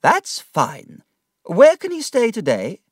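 A stern, brisk older aunt, as a man puts her on. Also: high-pitched, huge pitch swings, dry recording, voice pushed up, heavily acted. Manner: cold, firm and commanding. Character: stern, decisive older woman, a boy's aunt. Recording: dry, distant from the mic.

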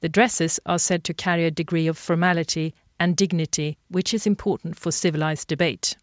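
A woman presenting a radio feature in a measured, reflective scripted narration. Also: low-pitched, steady tone, no room sound. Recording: dry, slightly dull studio sound.

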